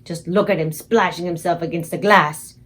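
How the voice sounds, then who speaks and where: A woman's voice: on the low side, moderate pace, a bit bright, voice pushed down, close to an everyday voice. A woman putting on a fussing kindly old giant. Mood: agitated. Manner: mangled grammar, invented words, exclamatory. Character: excitable old male giant, kindly, garbled speech.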